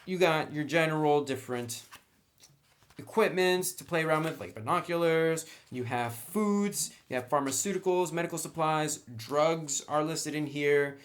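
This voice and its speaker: A man's voice: a little high, normal speed. A man giving a casual, unscripted review as he browses through a book, talking conversationally through each item.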